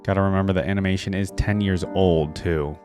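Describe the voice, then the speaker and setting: A man's voice: deep voice, fairly even intonation, a little fast, over music. Man reacting casually, thinking aloud. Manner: musing, thinking aloud in short remarks. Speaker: reaction video host. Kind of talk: casual off-the-cuff reaction commentary.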